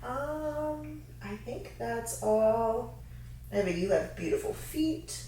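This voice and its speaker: A woman in her thirties co-hosting a casual Q&A, chatty and easygoing, quick to laugh. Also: fairly high pitch, lively intonation, mellow, a little hiss or hum. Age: 30s. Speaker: fitness co-host. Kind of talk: casual Q&A chat. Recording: echoey room, mic far off.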